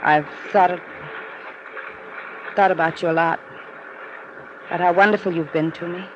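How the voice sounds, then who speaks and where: A woman's voice: lowish voice, steady tone, normal speed, lo-fi sound, lightly acted. An actress playing an anxious young woman in a crime story, hesitant and pleading with many restarts, in a 1950s radio drama on an old noisy recording.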